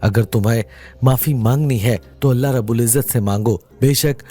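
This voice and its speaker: A man's voice: medium pitch, dark tone. A man reading a scripted religious moral story in an earnest, dramatic way, addressing his listeners directly. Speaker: narrator of religious moral stories. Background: background music.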